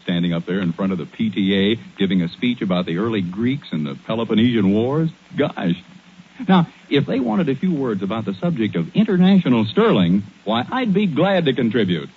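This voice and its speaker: A man's voice: fairly low pitch, big pitch swings, brisk, slightly rough. A male radio announcer delivering folksy, scripted sponsor patter in 1940s advertising style. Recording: muffled 1940s radio recording.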